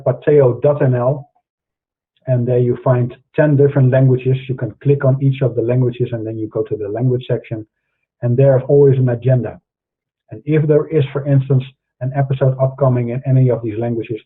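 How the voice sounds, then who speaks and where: A middle-aged Dutch-accented man talks earnestly in a husky voice, sounding like a preacher and often pausing on "uh" as he restarts. The sound is tinny and narrow, like a phone line. His pitch sits in the ordinary middle range for a man. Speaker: host. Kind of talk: monologue to camera in an online show.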